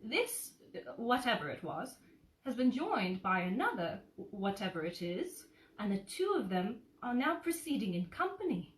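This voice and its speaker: Woman playing storybook he-bear, earnest, musing, slow-witted, deliberate, mysterious, echoey room. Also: medium pitch, sing-song, recorded from a distance. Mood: puzzled, earnest.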